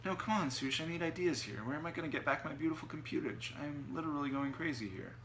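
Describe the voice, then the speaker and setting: A man's voice: fairly low pitch, fairly quick, a bit bright, a little hiss or hum, lightly acted, rough recording. Man playing a peevish, eccentric muttering man. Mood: pleading. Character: eccentric irritable man arguing with imaginary friends.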